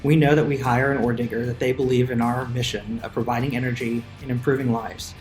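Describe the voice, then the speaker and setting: A man's voice: medium pitch, little pitch movement, fairly quick. A man giving a prepared testimonial as a company representative. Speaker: corporate representative. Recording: roomy echo with music behind.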